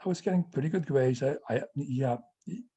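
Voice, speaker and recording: An elderly man in his eighties, originally from France and a native French speaker, answers audience questions with personal memories. His voice is warm and husky. He talks haltingly, stopping often to restart and fill with "yeah". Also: mid-range voice. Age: 80s.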